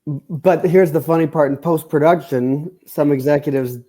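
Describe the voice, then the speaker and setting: A middle-aged man, a film director, chatting in an interview with high energy, jokes and rambling comparisons. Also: normal speed, mellow. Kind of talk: casual interview chat.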